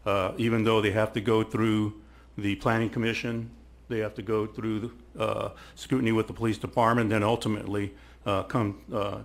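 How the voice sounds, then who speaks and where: A man with a fairly low voice gives deliberative remarks as a city council member. He speaks haltingly, often doubling back on words as he works toward his point. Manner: halting, repetitive, searching for words. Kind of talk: deliberative public meeting remarks.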